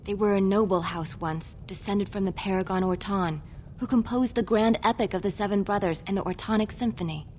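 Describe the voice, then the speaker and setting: A woman's voice: mid-range voice, a little fast, darkish tone, a little hiss or hum, lightly acted. An actress playing a shy, hopeful young female dwarf scholar in a fantasy video game, earnest and apologetic. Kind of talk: video game character acting.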